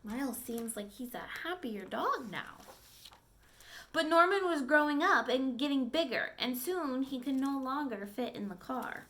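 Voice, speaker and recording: A woman teacher reads a children's picture book aloud in an expressive storytelling style. Her voice sits a little high and moves in wide, lively swings, and she pauses to chat with her young listeners. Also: slight background noise.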